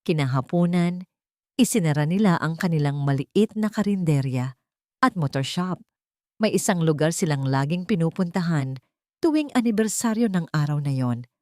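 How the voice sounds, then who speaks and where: A woman narrating a scripted Tagalog romance story in a measured, gently emotive reading. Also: low voice, no room sound, studio quality.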